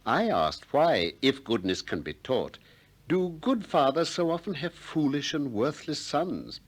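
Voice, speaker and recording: An actor playing a shrewd, probing Athenian philosopher in a 1960s radio drama, deliberate and courteous with polite irony. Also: male voice, medium pitch, very animated. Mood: measured narration. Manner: deliberate, politely ironic, questioning.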